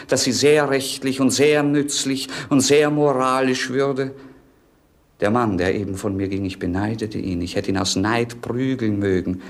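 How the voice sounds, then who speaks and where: A man's voice: medium pitch, close to an everyday voice. Melancholy young prince, theatrical man acting. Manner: theatrical, ironic, declamatory. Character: melancholy, bored young prince, witty idle dreamer. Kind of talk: 1950s German radio drama acting. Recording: 1950s radio play recording.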